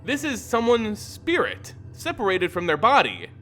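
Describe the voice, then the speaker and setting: A man giving a dramatic, theatrical scripted narration of a fantasy story, with deliberate emphasis. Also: sits high, wildly swooping pitch, bright, forceful tone. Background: music.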